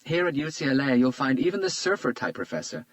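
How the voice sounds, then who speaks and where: A man voicing a playful young woman, high and bright. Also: quick pace, dry recording, lightly acted. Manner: playful, teasing.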